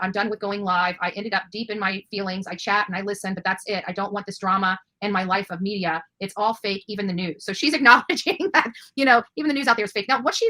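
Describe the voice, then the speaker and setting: A woman's voice: mid-range voice, sharp tone. A woman hosting an online interview in a chatty, emphatic and opinionated way. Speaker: interviewer and online show host. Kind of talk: chatty interview, reading out text messages.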